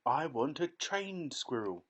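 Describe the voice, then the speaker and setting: A man voicing a spoiled little girl who shouts her demands. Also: a little high, very animated, slow, husky, voice pushed up, heavily acted. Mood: demanding.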